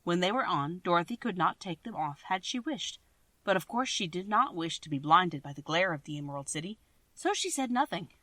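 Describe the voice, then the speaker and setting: A woman reads a classic storybook aloud, measured and expressive, her voice rising and falling a great deal. The recording is dry and close, with no room sound. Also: fairly low pitch, no echo. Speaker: audiobook reader. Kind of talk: storybook reading.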